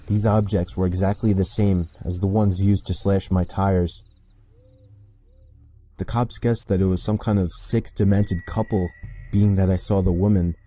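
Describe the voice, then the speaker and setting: A man narrates a scripted scary story in the first person. His voice is deep and dark, and his delivery is measured and deliberate. Faint music plays behind him. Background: background music.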